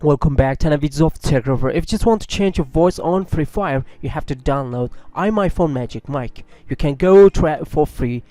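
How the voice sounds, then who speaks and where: Mellow male tech tutorial host. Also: fairly high pitch, dry recording. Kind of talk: software tutorial narration. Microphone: USB microphone at a computer.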